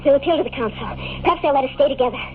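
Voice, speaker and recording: A woman's voice: high voice, rapid-fire, lightly acted. An actress playing an earnest young woman in a 1950s radio drama, with an American accent and an intense, pleading delivery. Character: earnest, urgent young woman, secret time traveler in love. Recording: tinny, hissy old radio broadcast recording.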